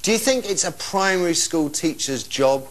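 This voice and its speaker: A man's voice: a little high, expressive, normal speed. A man hosting a live phone-in discussion, speaking informally and emphatically and putting questions to callers. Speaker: phone-in programme host.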